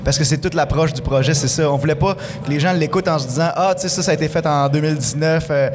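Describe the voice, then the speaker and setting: Fast-talking Quebec French man. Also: on the high side, over music. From Quebec, Canada. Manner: eager, laughing, storytelling. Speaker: musician guest. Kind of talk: casual podcast interview.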